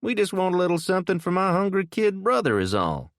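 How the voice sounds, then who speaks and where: A man's voice: high voice, sing-song, fast, no room sound, studio quality, pitched above the performer's own voice. A man voicing a cocky young male thug with a South Louisiana drawl, taunting and threatening.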